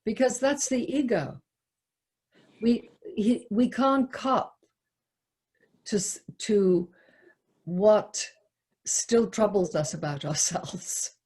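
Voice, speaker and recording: Slow-paced woman leading a discussion. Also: mid-range voice, darkish tone, breathy edge. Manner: hesitant, searching for words. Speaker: discussion leader. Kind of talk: unscripted literary discussion.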